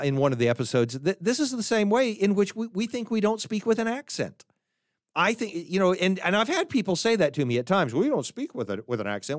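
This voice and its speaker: An American man with a fairly high, very lively voice works through ideas out loud in a podcast-style talk. He restarts and digresses often, and the close, dry sound has no room around it. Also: no echo. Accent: General American. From United States. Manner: thoughtful, halting, with frequent restarts and asides. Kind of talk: unscripted spoken lecture.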